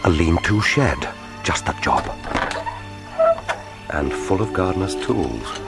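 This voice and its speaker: Very deep-voiced British secret agent. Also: male voice, lively intonation, slow pace, vocal fry, exaggerated voice. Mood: tense, wry. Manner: dry, understated, musing aloud. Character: British secret agent, resolute, wry, tender with his island companion. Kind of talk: radio drama acting. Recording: dramatic music bed under voice. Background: loud orchestral film score.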